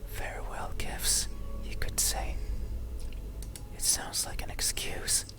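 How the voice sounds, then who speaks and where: A performer voicing a creepy, morbidly curious wandering cursed soul in a breathy, intimate whisper, in the style of horror ASMR. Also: lightly acted. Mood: morbid, confiding. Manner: slow, eerie, intimate. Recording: music under the whispering.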